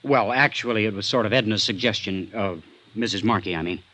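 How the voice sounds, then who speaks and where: A man's voice: fairly low pitch, pushed and bright. An actor playing a defensive, earnest young man under suspicion in a vintage radio drama. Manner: defensive, earnest, quick to bristle. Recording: dull, band-limited old radio recording.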